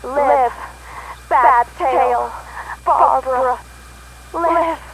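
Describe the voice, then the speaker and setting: A woman playing an eerie dead woman calling from beyond in halting fragments, for a live radio drama. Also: sits high, heavily acted, low-quality recording. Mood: eerie, fragmented. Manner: halting, fragmented, drawn-out phrases. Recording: loud radio-like noise over the voice.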